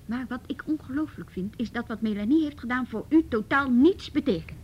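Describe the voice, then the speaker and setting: A woman's voice: fairly high pitch, brisk, husky, dull audio. An actress playing a frank, firm woman mediating in a radio drama, reasoning directly. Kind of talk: Dutch radio drama acting.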